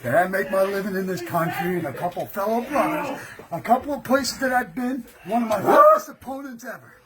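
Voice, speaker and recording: A man's voice: sits high, lively intonation, brisk. A man from the United States, a pro wrestler cutting a brash, profane, boastful promo. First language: English. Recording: loud background noise.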